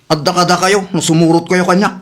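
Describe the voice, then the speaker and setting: A man's voice: high voice, little pitch movement, quick pace, fairly bright tone. A man playing an aggrieved, defiant son-in-law in a comedy drama, complaining defensively with an Ilocano accent. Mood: sulky.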